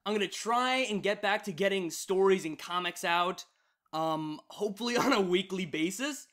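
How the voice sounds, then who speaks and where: A man's voice: high voice, normal speed, a bit bright. A man narrating fan fiction as a story reader and channel host.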